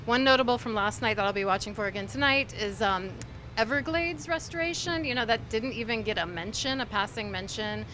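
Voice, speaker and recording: A woman from Miami, a newspaper columnist, giving measured, opinionated takes on politics on a live stream. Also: fairly high pitch, bright, forceful tone. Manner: measured and opinionated, weighing her words. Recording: noisy live-stream background.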